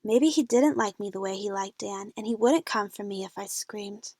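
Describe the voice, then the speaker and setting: A woman's voice: mid-range voice, moderate pace, darkish tone, no echo, clean studio sound, close to an everyday voice. A woman playing a frightened young female adventure fan, narrating her own jungle ordeal in a scripted audio drama. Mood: fearful, suspenseful.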